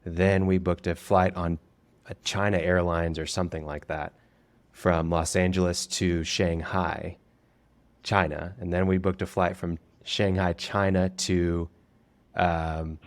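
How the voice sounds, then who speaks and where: A man's voice: low-pitched, level tone, a little slow. A man co-hosting a podcast, telling rambling anecdotes casually with laughs and fillers. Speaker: podcast co-host.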